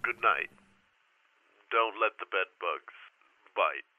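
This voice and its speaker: An actor playing an earnest, formal historian telling a strange tale over the telephone in an old-style radio drama. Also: male voice, sits low, animated, takes it slowly, bright, forceful tone, no echo, full character voice. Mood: formal, grave. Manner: formal, storytelling, slightly hesitant. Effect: telephone line filter. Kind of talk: audio drama in the manner of golden age radio. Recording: thin, narrow telephone sound.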